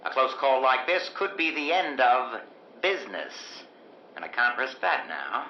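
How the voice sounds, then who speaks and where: An actor playing a menacing, smooth-talking carnival barker in a 1950s-style radio drama. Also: male voice, medium pitch, very animated, a little slow, bright, forceful tone, reverberant, full character voice. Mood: quietly menacing. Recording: dull vintage radio recording with music.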